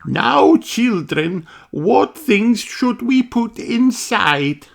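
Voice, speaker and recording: A reader voicing a stately, patient female schoolteacher gazelle in a children's storybook, with slow, drawn-out teacherly delivery. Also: medium pitch, lively intonation, gravelly, soft background music, voice pushed up, exaggerated voice. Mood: bright, encouraging. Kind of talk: children's storybook read-aloud.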